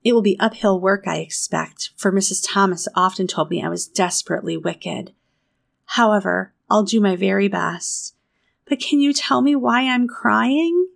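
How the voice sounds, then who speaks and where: A woman's voice: mid-range voice, normal speed, creaky. A woman voicing an eager, talkative eleven-year-old orphan girl, earnest and pleading. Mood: tearfully glad. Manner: earnest, pleading, breathless with feeling.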